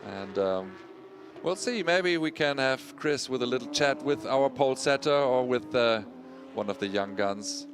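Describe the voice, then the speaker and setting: A man's voice: mid-range voice, lively intonation, a little slow. A man doing live motorsport commentary in an enthusiastic presenter tone. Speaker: race commentator. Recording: broadcast with loud track noise.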